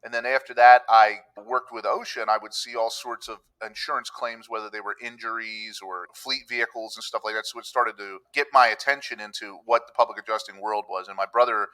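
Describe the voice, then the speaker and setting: Man, middle-aged, from Pennsylvania, bright tone, easygoing storyteller, podcast guest. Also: on the low side, steady tone, brisk, hardly any pauses, rough recording. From Pennsylvania, USA. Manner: easygoing storytelling, acts out quoted voices. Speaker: public adjuster, podcast guest. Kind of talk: conversational interview. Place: room with a countryside backdrop.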